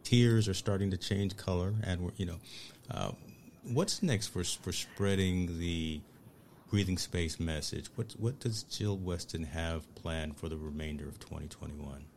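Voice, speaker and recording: A man's voice: sits low, steady tone, unhurried, a little mellow. A man hosting an interview podcast, talking in an enthusiastic, casual way and often repeating words as he goes. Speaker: podcast host.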